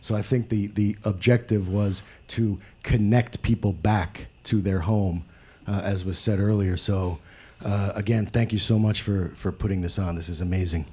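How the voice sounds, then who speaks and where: A man speaking from the audience at a live event, giving an earnest, measured, unscripted comment. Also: on the low side, fairly even intonation, mellow, husky. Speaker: audience member. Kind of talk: earnest spontaneous public comment.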